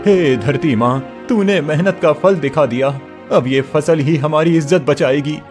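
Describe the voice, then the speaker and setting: A man's voice: on the high side, a little slow, soft background music, lightly acted. A man voicing a determined young farmer son. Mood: proud. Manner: earnest, defiant, proud. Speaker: voice actor.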